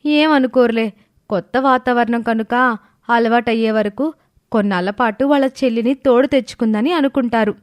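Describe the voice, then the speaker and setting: A woman's voice: on the high side, moderate pace, dry recording, natural voice. A woman voicing a timid young bride in a cartoon family drama, hesitant and pleading. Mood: persuading. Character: timid, slow-witted young bride seeking her sister's help.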